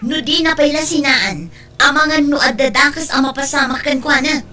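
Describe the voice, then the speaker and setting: A stern, dependable aunt , acted by a woman, with a slightly high voice. She lays down advice firmly in an Ilocano-accented family audio drama. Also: normal speed, pushed and bright, a little hiss or hum. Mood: indignant. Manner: firm, admonishing. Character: stern, responsible aunt and daughter, advising family.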